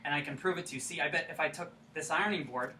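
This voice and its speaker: An actor in a staged reading playing an earnest, naive, childlike man, with a halting, literal-minded way of talking. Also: a little high, pushed and bright. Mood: earnest, puzzled. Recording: echoey room, distant mic, faint noise.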